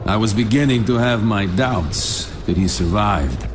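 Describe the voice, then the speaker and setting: Game narration, male actor: serious soldier giving account, measured, slightly low, clear noise behind. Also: close to an everyday voice. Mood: sober. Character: sober male soldier recounting a mission. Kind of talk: scripted dramatic narration in a video game.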